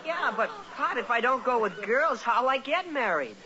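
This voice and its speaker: A nervous twelve-year-old son in vintage radio comedy. The voice is high and lively, whining and hesitant. The sound is muffled, with an audience audible behind it. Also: male voice, normal speed, a crowd in the background. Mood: pleading. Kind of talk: 1940s radio comedy acting.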